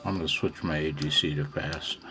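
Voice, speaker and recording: A man's voice: rumbling low, steady tone, fairly quick, a crowd in the background. A man giving a casual, unscripted hands-on commentary as a radio hobbyist demonstrating gear indoors at home.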